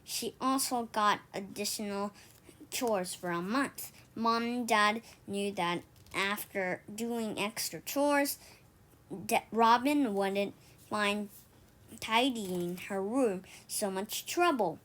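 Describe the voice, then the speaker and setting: A woman reading a children's story aloud, haltingly, often stumbling over and repeating words. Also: medium pitch, fairly bright tone. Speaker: storybook reader for an online read-aloud.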